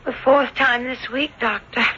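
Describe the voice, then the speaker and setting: A woman's voice: sits high, fairly even intonation, unhurried, bright, forceful tone. An actress playing a delicate, lovesick young woman in a radio drama, speaking coyly. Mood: coy, confiding. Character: lovesick young woman, a doctor's fond patient. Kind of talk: 1970s radio drama acting. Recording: dull, noisy old radio broadcast.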